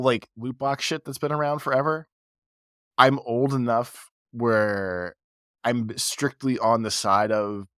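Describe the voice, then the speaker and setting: A man's voice: mid-range voice, a bit bright, dry recording, studio quality. A man co-hosting a podcast in casual chat, stuffy from a cold, rambling with lots of fillers. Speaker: podcast co-host.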